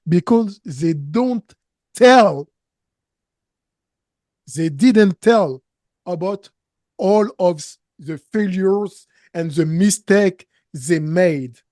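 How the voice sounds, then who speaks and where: A man's voice: high voice, animated, dark tone, dry recording, clean studio sound. A man with a French accent and French as his first language, a business coach speaking earnestly as an interview guest. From Canada. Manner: slow, earnest, emphatic, with non-native grammar slips. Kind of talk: interview conversation.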